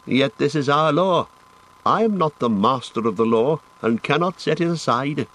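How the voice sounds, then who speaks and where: A man reading an audiobook, voicing a stern male elf guardian who speaks in a measured, formal way. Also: a little high, animated, a bit bright, voice pushed up.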